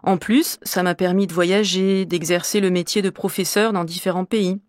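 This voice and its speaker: A woman's voice: fairly low pitch, steady tone, normal speed, no room sound, clean studio sound, close to an everyday voice. A woman playing a middle-aged French teacher from Normandy, answering interview questions in an articulate, explanatory way for a language course. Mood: composed. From Normandy, France. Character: middle-aged female French teacher, curious and well-travelled.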